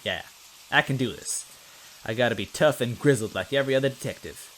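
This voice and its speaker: A man's voice: mid-range voice, fairly quick, fairly bright tone, slight background noise, close to an everyday voice, rough recording. A man voicing a timid, anxious detective from a story, hesitant and self-doubting yet earnest. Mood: self-encouraging. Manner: hesitant, stammering.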